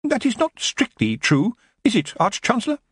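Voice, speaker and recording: A man's voice: very high voice, wildly swooping pitch, normal speed, a bit bright, husky, no room sound, clean studio sound, voice pushed up, full character voice. A man voicing a nervous, dutiful young wizard assistant in an audiobook, hesitant, flustered and polite.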